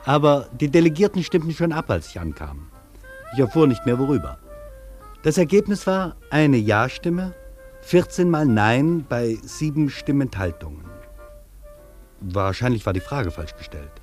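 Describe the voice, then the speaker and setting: Male actor as reflective male traveller, literary radio monologue, measured, declamatory, poetic, medium pitch, music underneath. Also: big pitch swings, a little mellow, close to an everyday voice. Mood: vivid, wry. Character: reflective male traveller marvelling at a vast foreign city. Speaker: actor reading a traveller's first-person account in a radio feature.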